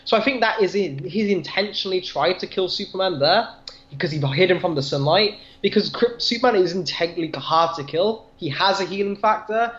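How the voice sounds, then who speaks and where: Guy podcast co-host, kinda high voice, self-deprecating, casual comics chat, dull cheap audio. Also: brisk, a bit bright. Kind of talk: casual comic book discussion.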